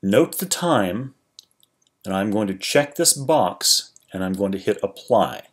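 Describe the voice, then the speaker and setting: A man narrating a screen-recorded 3D software tutorial, talking through each step in a relaxed, explanatory way with frequent small restarts. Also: lowish voice, a little slow. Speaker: 3D tutorial creator.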